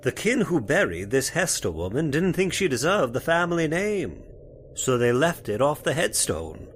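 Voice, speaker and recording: A man's voice: a little high, very animated, normal speed, a little hiss or hum. A man playing a folksy, spooked cemetery caretaker in old-time radio horror style, with rustic turns of phrase. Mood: ominous. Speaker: voice actor.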